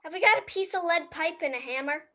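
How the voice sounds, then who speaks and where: A woman's voice: very high-pitched, quick pace, sharp tone. A female actor playing a busy, secretive little girl in a radio play, giving brief, evasive answers. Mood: evasive, preoccupied.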